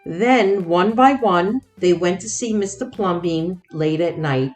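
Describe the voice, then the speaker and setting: A woman narrating a children's storybook expressively, with deliberate, dramatic phrasing. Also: fairly low pitch, faint music behind. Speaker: children's book read-aloud host.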